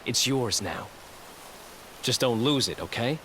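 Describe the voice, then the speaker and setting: Cocky, casual young thief. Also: male voice, medium pitch, expressive, unhurried, fairly bright tone, a little hiss or hum. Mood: sincere, upbeat. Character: cocky, good-hearted young thief sidekick. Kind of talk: video game voice acting. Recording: music behind the voice.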